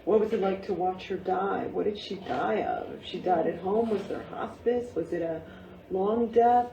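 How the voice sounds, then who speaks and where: A woman's voice: medium pitch, normal speed, creaky, a crowd in the background. A woman giving informal feedback in a group discussion, reflective and emphatic as she muses aloud in questions. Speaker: audience member giving play feedback, teacher. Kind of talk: informal post-reading discussion. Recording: muffled, noisy, echoey room from far off.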